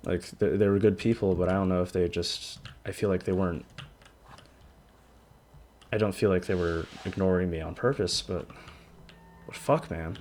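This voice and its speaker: A young man in his twenties with a deep voice, rambling hesitantly about his life in a personal vlog, often stopping and restarting. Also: fairly even intonation, darkish tone, a little hiss or hum. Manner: hesitant, halting, frequent restarts. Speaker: vlogger. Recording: music playing under the voice. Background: background music.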